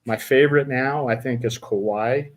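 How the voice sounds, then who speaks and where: Middle-aged male host, mid-pitched, chatty but stumbling, many restarts. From Utah. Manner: halting, many fillers and restarts. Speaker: podcast host. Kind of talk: casual interview chat.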